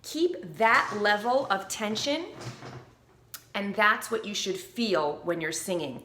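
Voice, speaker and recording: A woman vocal coach explaining breath support and pitch in a clear, upbeat teaching manner, with short sung demonstrations. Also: animated, slow pace, bright, forceful tone, echoey room, slight background noise. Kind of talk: instructional video.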